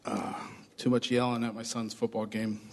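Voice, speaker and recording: A man with a hoarse voice, pastoring a church, giving chatty announcements and a short sermon to his congregation. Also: lowish voice, level tone, moderate pace. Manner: upbeat, chatty, addresses congregation directly. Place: church sanctuary. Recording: long reverb of a church hall.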